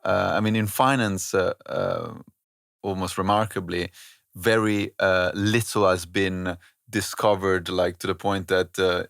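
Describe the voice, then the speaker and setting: A man in his thirties from Italy with an Italian accent, a podcast guest talking thoughtfully with many pauses and fillers. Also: deep voice, level tone, vocal fry, fairly bright tone, no room sound, studio quality. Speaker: orchestra director, podcast guest. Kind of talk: conversational interview.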